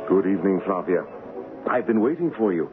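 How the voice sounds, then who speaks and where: A man acting a bold, courtly gentleman posing as a king in a 1970s radio drama, composed and measured. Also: deep voice, very animated. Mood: charming, tender. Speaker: actor and first-person narrator. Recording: dull old radio broadcast with music.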